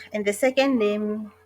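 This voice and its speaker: Woman talking thru an online form step by step, mid pitch, lots of uhs and restarts. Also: steady tone. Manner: hesitant, many "uh" pauses and self-corrections. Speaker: online job tutorial creator. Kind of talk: casual screen-recorded walkthrough.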